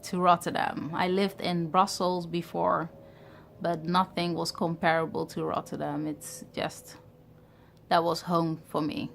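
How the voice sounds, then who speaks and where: A woman's voice: on the low side, little pitch movement, vocal fry, slight background noise. A woman from Ghana in her thirties who grew up in Europe, talking to camera in a reflective, hesitant way about starting a business. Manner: reflective, hesitant, many pauses and fillers. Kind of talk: personal vlog talk to camera.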